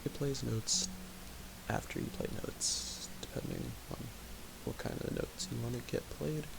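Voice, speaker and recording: A young man talking quietly in a raspy voice, rambling with fillers as he thinks aloud while making music. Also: medium pitch, wildly swooping pitch, unhurried. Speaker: music producer streaming. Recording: home recording with audible hiss.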